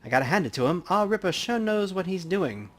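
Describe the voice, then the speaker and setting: Casting: man playing a talkative, folksy game coroner, higher-pitched and very animated in delivery. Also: a little fast. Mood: chatty, upbeat. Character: chatty, folksy male coroner at a crime scene. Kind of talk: acting out video game dialogue.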